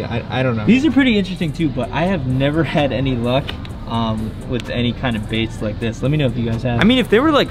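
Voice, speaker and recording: A young man, chatting casually and rambling as he vlogs to a handheld camera. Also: medium pitch. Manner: chatty, rambling, laughs at himself. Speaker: fishing channel host. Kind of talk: casual vlog talk to camera. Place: store aisle, then outdoor lakeside park. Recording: background music.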